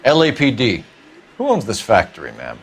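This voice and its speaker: An actor playing a stern, methodical 1940s police detective, pressing a suspect in clipped lines. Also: male voice, fairly low pitch, huge pitch swings, normal speed, slight background noise. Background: music score.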